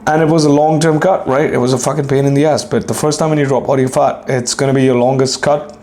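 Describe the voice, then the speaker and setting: A hoarse young man hosting a solo fitness podcast, rambling and swearing casually while clearing his throat. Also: mid-range voice, level tone, quick pace, a little mellow. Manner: profane, rambling, self-deprecating. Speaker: podcast host and fitness coach.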